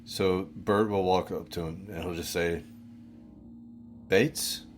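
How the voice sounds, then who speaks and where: A man's voice: sits low, fairly even intonation, moderate pace, a little mellow, soft background music. A man playing a tabletop roleplaying game, chatting casually and narrating his character's moves.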